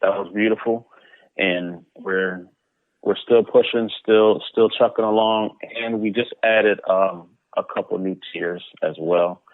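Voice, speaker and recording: A man with a low voice comes through a narrow, phone-like connection with no room echo. He talks as an interview guest in a casual, conversational way. He often doubles back on words and short phrases as he builds his point. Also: steady tone, unhurried. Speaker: interview guest, comic book publisher. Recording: muffled phone-like line.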